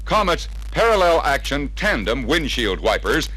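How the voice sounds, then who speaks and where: An American man reading a scripted 1960s promotional narration in a measured, emphatic, salesmanlike way, from an old record. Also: on the high side, sing-song, bright, forceful tone, husky, no echo, low-quality recording. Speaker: narrator of a salesman training film. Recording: vintage 33-1/3 RPM record sound.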